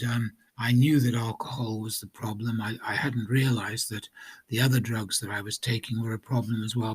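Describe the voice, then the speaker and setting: A man from Wales telling his own story of addiction in a slow, hesitant way, with many pauses, ums and restarts. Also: medium pitch, husky. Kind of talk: personal testimony.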